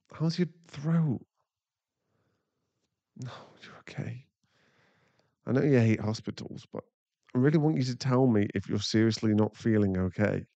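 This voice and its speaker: A man's voice: on the low side, warm tone, no echo. Casting: male actor as anxious, caring werewolf boyfriend for ASMR audio; close, dry, slightly dull recording, soothing and rambling. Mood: tender concern. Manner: halting, rambling, soothing, breathy sighs.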